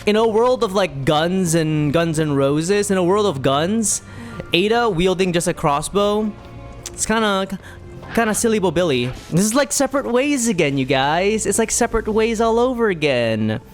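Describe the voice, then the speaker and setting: A man's voice: sits high, expressive, moderate pace, faint music behind. A man giving casual, playful commentary as he plays a video game, rambling and reacting as he goes. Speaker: streamer playing a video game.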